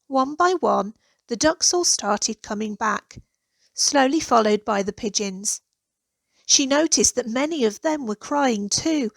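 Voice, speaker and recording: Female children's storybook narrator, higher register, expressive and deliberate delivery, dry close sound. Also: creaky, dry recording. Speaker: author reading own children's story.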